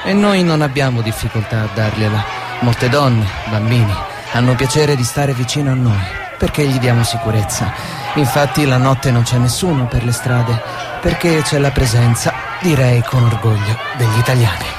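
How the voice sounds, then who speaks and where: A man's voice: mid-range voice, normal speed, loud music behind the voice, a loud crowd, natural voice. A man playing a smug, enigmatic escort in a stage drama, talking in a teasing, evasive, philosophical way. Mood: proud.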